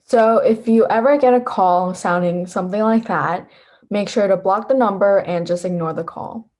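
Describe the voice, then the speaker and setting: A woman's voice: medium pitch, normal speed, a little mellow. A teenage young woman presenting a scripted lesson as an instructor. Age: teens. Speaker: volunteer instructor.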